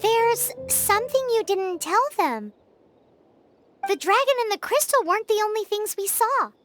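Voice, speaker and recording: A woman voicing a tiny, chatty, blunt fairy-like companion in a video game, bubbly and quick to judge. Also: very high voice, expressive, soft background music. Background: game score.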